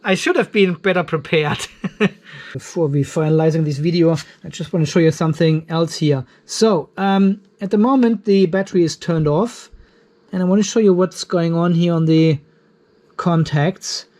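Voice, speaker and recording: A man's voice: on the high side, normal speed, a little mellow. A man hosting a casual vlog, chatting and thinking aloud as he reviews a home battery, with frequent restarts and self-corrections.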